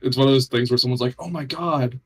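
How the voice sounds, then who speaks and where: A man from New Jersey thinks aloud through his turn in a casual livestreamed card game. His voice sits at an ordinary middle pitch, and he talks in loose, unhurried bits with pauses between them. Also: fairly bright tone.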